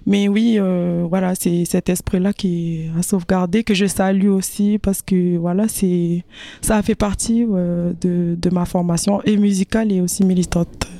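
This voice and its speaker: A woman singer and musician from France, interviewed, speaking hesitantly with many pauses and fillers. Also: on the low side, steady tone, dark tone, dry recording. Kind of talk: casual interview.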